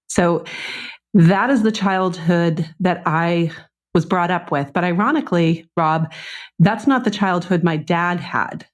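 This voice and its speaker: A middle-aged woman with a Boston accent, telling personal stories conversationally as a podcast guest. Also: fairly low pitch. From Boston, United States.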